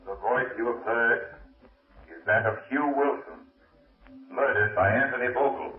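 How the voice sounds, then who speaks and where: A man's voice: medium pitch, expressive, unhurried, lightly acted. An actor playing a mysterious, menacing male avenger of crime in a solemn, declamatory 1930s radio drama style. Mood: ominous. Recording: muffled echoey old radio recording, distant, faint music.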